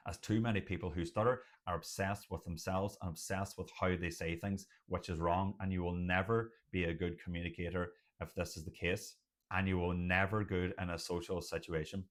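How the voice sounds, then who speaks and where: A middle-aged man who has a stutter, speaking earnestly and emphatically to viewers in short, measured phrases, with a motivational tone. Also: sits low. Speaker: doctor sharing personal experience of stuttering. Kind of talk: motivational personal talk to viewers.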